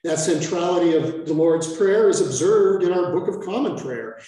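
An older American priest giving an earnest sermon that explains the text step by step, with self-aware asides. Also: male voice, a little high, moderate pace, dark tone. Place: large church sanctuary. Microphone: far camera. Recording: echoey church from far off.

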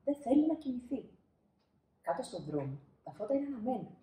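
Animated woman reading a children's storybook. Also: a little high, a little hiss or hum. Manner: dramatic pauses and emphasis. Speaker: storybook reader. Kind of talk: expressive children's storybook narration. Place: small indoor room, seated. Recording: roomy indoor echo.

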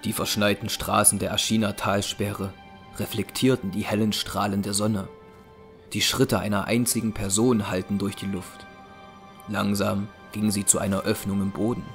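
A man's voice: lowish voice, level tone, moderate pace. A man narrating an audio drama in a scripted, dramatic storytelling style. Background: music.

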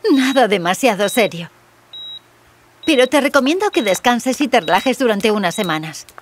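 Woman playing a high-voiced, wry young princess surgeon. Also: expressive, moderate pace, slight background noise, close to an everyday voice. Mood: calm, professional. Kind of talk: cartoon fairy tale dialogue.

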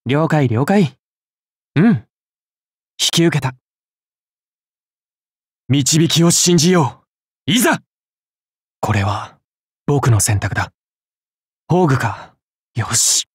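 Casting: young hero swordsman for an anime game; breathy, gentle, dutiful, wide-swinging pitch. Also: animated, slow, long pauses, no room sound, studio quality, full character voice. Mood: quiet resolve. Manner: soft-spoken, polite, turning to fervent battle cries. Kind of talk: anime mobile game battle voice lines.

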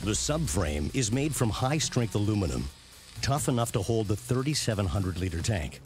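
A man reading scripted narration for a documentary series in a measured, punchy way. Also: lowish voice, very animated, darkish tone. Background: prominent background music.

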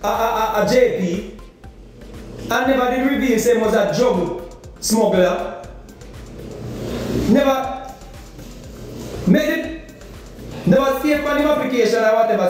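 A man's voice: high-pitched, moderate pace, long pauses. A middle-aged Jamaican man giving insistent political commentary into a phone held at arm's length indoors, pressing his points with rhetorical questions and repetition. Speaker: political vlogger. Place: indoor kitchen or room. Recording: echoey room, far from the mic, faint music.

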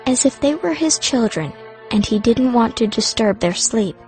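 A woman with a fairly high voice narrates a fantasy audiobook. She tells the story in the first person as a fierce young girl mage, with music faintly behind her. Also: lively intonation, normal speed, a little mellow.

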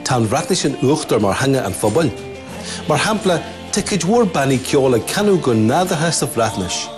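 A man from Ireland narrates a television documentary. His voice sits mid-range and moves with lively pitch. Music plays clearly under him. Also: moderate pace. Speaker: presenter.

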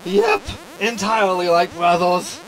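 An actor playing a wry, self-preserving hologram crew member with a dry, sarcastic manner, in a science fiction comedy audio drama. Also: male voice, high voice, sing-song, unhurried, creaky, loud music behind the voice, full character voice, poor audio. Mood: sardonic.

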